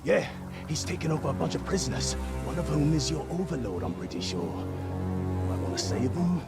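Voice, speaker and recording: High-pitched, chatty cowardly orc. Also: male voice, animated, a little fast, loud music behind the voice. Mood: urgent, rambling. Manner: rambling, jittery, comically eager. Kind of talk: video game character acting.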